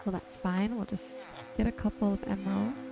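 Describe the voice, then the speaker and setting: A woman giving relaxed ASMR-style gameplay commentary, gently thinking aloud without hurry. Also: mid-range voice, normal speed, gravelly, over music. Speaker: gaming video host.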